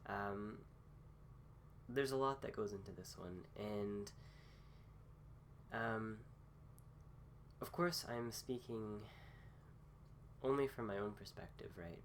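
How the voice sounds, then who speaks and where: Slow, halting man getting over a cold. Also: on the low side, slight background noise. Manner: slow, hesitant, many fillers and restarts. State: recovering from a cold. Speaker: host. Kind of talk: casual philosophy talk.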